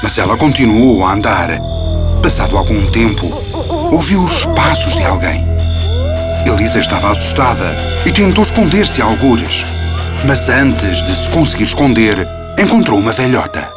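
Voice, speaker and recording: A man narrates a children's fairy tale in a European Portuguese accent. His voice is mid-pitched and rises and falls with lively movement. Loud music plays underneath throughout. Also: normal speed.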